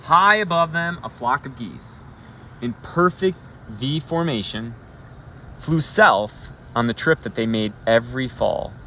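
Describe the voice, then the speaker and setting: Animated man reading a bedtime story outdoors. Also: medium pitch. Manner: expressive, pausing to ask and comment to listeners. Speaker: elementary school educator reading a bedtime story. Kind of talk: read-aloud storybook narration with asides. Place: outdoors on a school roof at night. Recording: outdoor live feed with traffic noise.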